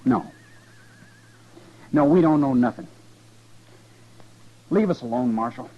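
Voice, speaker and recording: A man's voice: medium pitch, slow pace. Sullen young frontier man. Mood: defiant. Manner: defiant, surly, rustic. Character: sullen, resentful young frontier farm son. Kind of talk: 1950s radio western acting. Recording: dull, noisy vintage radio recording.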